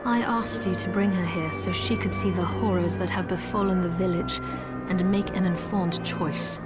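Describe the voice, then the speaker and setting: A woman's voice: fairly low pitch, steady tone, moderate pace, natural voice. An actress playing a composed, formal and firm female church exorcist in a video game. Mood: firm, challenging. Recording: loud music behind the voice. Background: loud game music.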